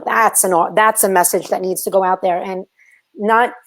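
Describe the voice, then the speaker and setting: A woman's voice: medium pitch, fairly even intonation, normal speed. A woman with a Brooklyn accent hosting a casual interview, asking long, rambling, encouraging questions. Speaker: host.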